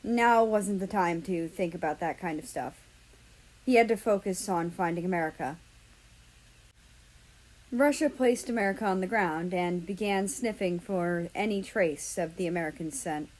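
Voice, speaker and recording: A woman's voice: fairly low pitch, normal speed, darkish tone, low-quality recording. A woman narrating a story as a fan fiction reader. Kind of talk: storybook-style narration with acted dialogue.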